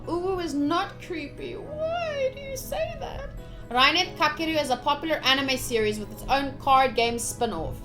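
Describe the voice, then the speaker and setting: A young woman streaming a visual novel, reading the text aloud with casual, gushy, expressive commentary. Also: high voice. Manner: expressive, gushy, slips into cute exclamations. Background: soft game music.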